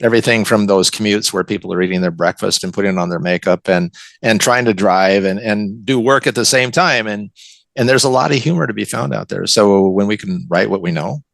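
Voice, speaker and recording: An older American man speaks as an interview guest in a fairly low, gravelly voice. The sound is dry and close, and he talks reflectively, circling back over his own phrases. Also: brisk, a bit bright, no echo. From West Coast of the United States. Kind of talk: conversational interview.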